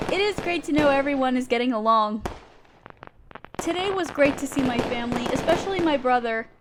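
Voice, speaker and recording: A woman playing an affectionate, reassuring girlfriend in a roleplay audio, speaking sweetly and tenderly to a partner. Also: high voice. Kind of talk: roleplay audio acting, addressing the listener as partner. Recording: noisy park and fireworks effects. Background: park sounds, fireworks.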